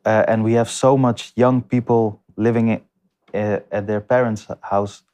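A man answering interview questions in a halting way, with many restarts and fillers. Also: lowish voice, steady tone, mellow, smooth. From came to the Netherlands as a refugee. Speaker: guest. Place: lives in north Amsterdam.

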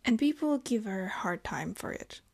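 A young woman from Germany, speaking in a reflective personal vlog, thoughtful and hesitant, with halting phrasing. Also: medium pitch, very animated, gravelly, breathy edge. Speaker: vlogger.

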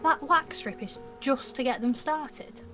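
A woman's voice: sits high, a little slow, sharp tone, soft background music. A woman playing a cheeky, mouthy nineteen-year-old apprentice in a naturalistic audio drama, flippant and slangy. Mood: curious, unsure. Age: young adult.

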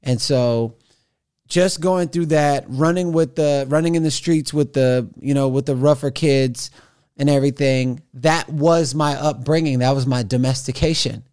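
A man of forty, a podcast guest, telling his story in an animated, conversational way with frequent restarts. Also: medium pitch, measured pace, smooth. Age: 40s. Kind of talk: conversational interview.

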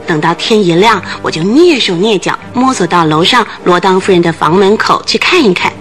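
A woman's voice: mid-range voice, lively intonation, a little fast, a bit bright, soft background music, lightly acted. An actress in a radio drama playing a nervous, talkative landlady who tells things in earnest detail. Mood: frightened.

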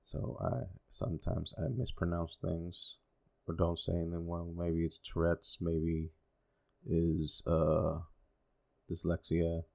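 A man's voice: low voice, little pitch movement, slow, creaky, darkish tone. A man in his forties whose first language is Spanish, rambling through a solo podcast with wry, sarcastic asides. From Dominican Republic. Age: 40s. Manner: self-deprecating, wry and sarcastic, with many fillers. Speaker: podcast host.

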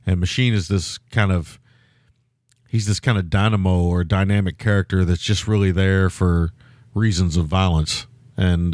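Loud, low-voiced man chatting about films. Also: no room sound. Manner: rambling, many fillers and restarts. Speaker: podcast co-host. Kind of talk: casual film-review chat.

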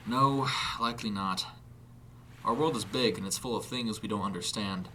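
A man's voice: lowish voice, moderate pace, pushed and bright, slight background noise. A man voicing a curious, firm inspector with a dry, questioning manner in a narrated story. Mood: thoughtful.

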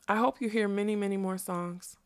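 Casting: female reader as storybook unicorn, female, gentle, lonely, earnest and imploring. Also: mid-range voice, steady tone, a little fast, smooth, lightly acted. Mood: gracious farewell. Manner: earnest, pleading. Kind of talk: acted audiobook storytelling.

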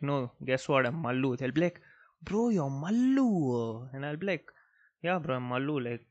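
A young man from Bangalore whose first language is Malayalam, hosting a podcast and telling childhood stories in a relaxed, rambling way full of "like" and "you know". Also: medium pitch, no echo. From Bangalore, India. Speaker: podcast host. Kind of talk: casual storytelling monologue.

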